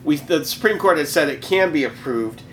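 Sarcastic man with a slightly high voice. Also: expressive, a bit bright, a little hiss or hum. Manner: sarcastic, jokey, quick to needle. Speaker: podcast co-host. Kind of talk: casual podcast banter.

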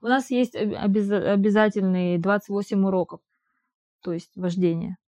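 A woman at an ordinary middle pitch, with a dark, mellow tone, talks in a casual conversation. She hesitates often, restarts words and fills pauses as she explains. She speaks Russian but lives in Israel. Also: steady tone, highs cut off. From Russian-speaking immigrant living in Israel. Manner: hesitant, halting with restarts and fillers. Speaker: interviewee.

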